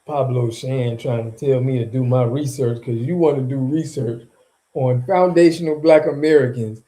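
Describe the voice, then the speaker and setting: A man hosting a casual livestream, reacting to viewer comments in an animated, slangy and mocking way. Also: medium pitch, normal speed, rough recording. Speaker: streamer reacting to viewer chat.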